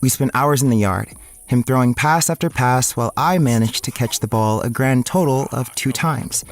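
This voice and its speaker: A man's voice: mid-range voice, moderate pace, lightly acted. A man playing a nerdy, unathletic young student who narrates his own story in an audio drama. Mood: wry recollection.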